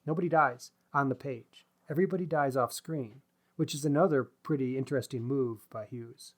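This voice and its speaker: A man co-hosting a book podcast, discussing in a casual but analytical, measured manner. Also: mid-range voice. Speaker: podcast co-host discussing books.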